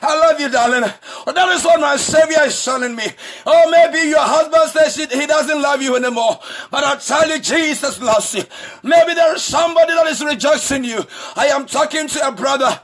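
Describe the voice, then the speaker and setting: A man preaching a sermon as pastor, shouting with a repetitive, sing-song cadence. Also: extremely high pitch, normal speed. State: married. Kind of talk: impassioned preaching.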